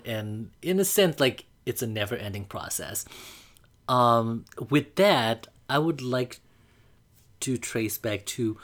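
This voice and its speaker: A man, calmly telling a personal coming-out story to the camera, often pausing on "like" as he thinks. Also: lowish voice. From Burma. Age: 20s. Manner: reflective, unhurried, frequent "like" fillers.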